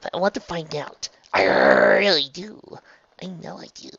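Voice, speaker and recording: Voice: medium pitch, creaky, fairly bright tone, breathy edge, no echo, muffled recording, pitched above the performer's own voice, heavily acted. A narrator voicing an emotional young female unicorn in a storybook, stammering, apologetic and unsure of herself. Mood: hesitant, yearning. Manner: stammering, halting.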